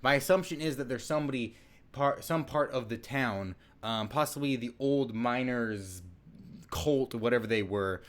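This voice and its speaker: Young man, gameplay commentary, mid pitch, chatty, rambling, filler-heavy. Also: moderate pace. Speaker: gaming channel host. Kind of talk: casual let's-play commentary.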